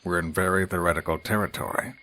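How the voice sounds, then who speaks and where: A man voicing a polite scientist who explains things in a calm, measured way. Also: low-pitched.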